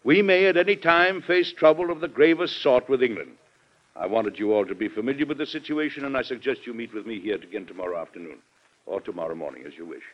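A man's voice: medium pitch, quick pace, lightly acted. An actor playing a stern, weary President and exasperated father in an old-time radio drama, speaking formally and reproachfully. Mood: grave, formal. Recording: dull lo-fi vintage radio recording.

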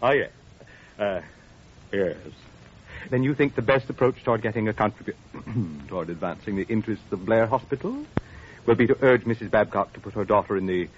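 An actor playing a pompous, money-hungry blue-blood hospital director, fussy and hesitant, in 1940s radio style. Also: male voice, fairly low pitch, fairly quick, lightly acted. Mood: astonished, greedy. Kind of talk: 1940s radio comedy-drama acting. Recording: muffled, hissy old radio broadcast.

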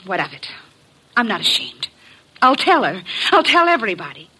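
An actress playing an unhappy, needy rich wife in an old radio drama, pleading melodramatically. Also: female voice, medium pitch, sing-song, normal speed, sharp tone, breathy edge, exaggerated voice, low-quality recording. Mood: bitter, defiant. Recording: vintage 1950s radio recording.

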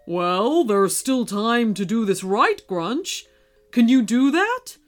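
A woman voicing a kindly but stern old Santa in a children's storybook, with a measured, authoritative manner. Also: on the high side, very animated, soft background music.

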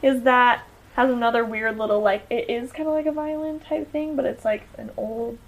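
A woman's voice: fairly high pitch, fairly even intonation, moderate pace, rough recording. A young American woman, a musician guest on a podcast, chatting casually with many fillers and frequent laughs. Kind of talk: casual chat.

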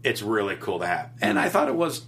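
A man with a bright, forceful tone walks through wrestling matches step by step. He talks in a casual, chatty way, listing each move in short bursts. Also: fairly low pitch, very animated. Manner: rapid play-by-play recounting. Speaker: wrestling recap co-host. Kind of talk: casual recap chat.

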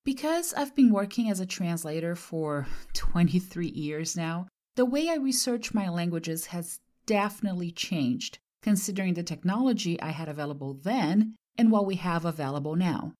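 A woman's voice: lowish voice, animated, normal speed, hardly any pauses, a little mellow. Brazilian-born woman hosting a podcast. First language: Portuguese. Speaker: podcast host. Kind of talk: scripted podcast narration.

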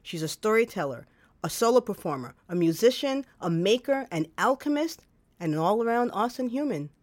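A woman's voice: mid-range voice, sing-song, fairly quick, no echo. A woman from the Bronx hosting a radio show, reading a personal story expressively and chatting into a shared microphone. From New York, the Bronx. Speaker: radio host. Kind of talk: storytelling then casual interview. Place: radio studio. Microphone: shared microphone up close.

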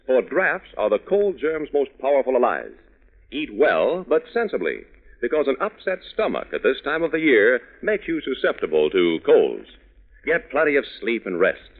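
A male radio announcer with a middling, very animated voice, reading in an emphatic, folksy 1940s style. The recording is narrow and tinny. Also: mid-range voice, normal speed.

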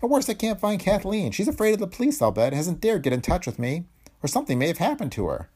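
A miserable, plump middle-aged man, acted out by a male story reader, pitched high and choked with guilt. He pleads and blames himself in wide, swooping phrases, in the manner of a pulp space tale. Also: very animated, quick pace, mellow, slightly rough, heavily acted. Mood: worried, despairing. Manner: pleading, self-blaming.

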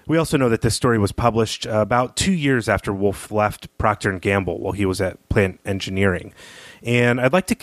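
A man with a fairly low voice speaks as a literary podcast co-host. His delivery is measured and analytical, and the recording is dry with very little room sound. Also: dry recording. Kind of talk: literary discussion, partly reading aloud.

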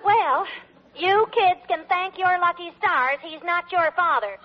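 An actress playing a fed-up, gossipy middle-aged American housewife in a 1940s radio comedy. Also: female voice, very high-pitched, a little slow, very noisy. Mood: resigned. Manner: exasperated, gossipy. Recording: dull old radio broadcast.

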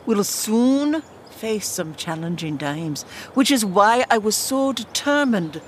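Woman doing a stately queen mum, formal and unhurried, stern but regretful, fantasy audio play, slight noise. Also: mid-range voice, very animated. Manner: formal, measured, regal. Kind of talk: scripted fantasy audio drama acting.

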